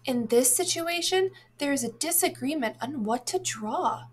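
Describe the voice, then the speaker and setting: A woman reading a children's storybook aloud in an expressive, deliberate way for young listeners. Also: sits high. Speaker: read-aloud narrator, teacher.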